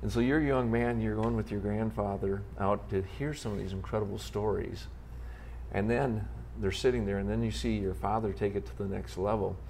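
A man hosting an interview, asking questions in an admiring, measured way with hesitant fillers. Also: on the low side, fairly even intonation, gravelly, darkish tone, slight background noise, rough recording. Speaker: host.

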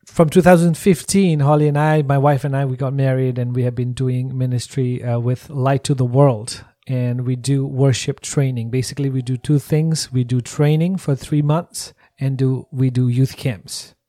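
A man from Nepal with a Nepali accent, a ministry leader answering interview questions earnestly, with frequent pauses and restarts. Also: medium pitch, little pitch movement, mellow, no echo, clean studio sound.

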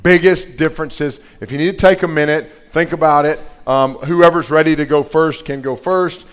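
A man, casually hosting a couples panel at a live event, relaxed and chatty. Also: on the high side, normal speed, smooth. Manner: relaxed, chatty, laughs often.

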